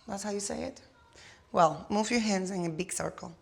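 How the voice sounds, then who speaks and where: A woman from Cuba with a Cuban Spanish accent, a native Spanish speaker, guiding a yoga class with slow, soothing cues and playful asides. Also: medium pitch, creaky. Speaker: yoga instructor.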